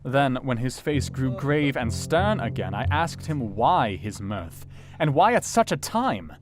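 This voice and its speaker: A man's voice: medium pitch, very animated, a little slow, soft background music. An actor playing a sober, compassionate Victorian doctor, narrating his diary reflectively in an audio drama. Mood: perplexed, intense.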